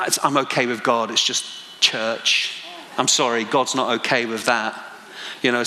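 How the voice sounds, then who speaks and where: A man's voice: medium pitch, sharp tone, slight background noise. A man in his forties with a Northern Irish accent, preaching a conversational sermon that builds to passionate emphasis, with self-deprecating asides. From Northern Ireland. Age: 40s. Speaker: pastor. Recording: long hall reverb.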